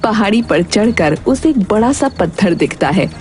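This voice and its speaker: A woman's voice: mid-range voice, animated, normal speed, darkish tone. A woman reading scripted narration for a children's story. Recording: prominent music behind the voice.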